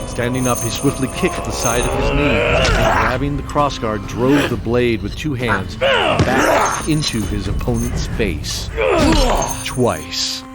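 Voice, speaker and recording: A man giving scripted, measured narration of a fantasy audio drama. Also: fairly low pitch. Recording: with loud music behind.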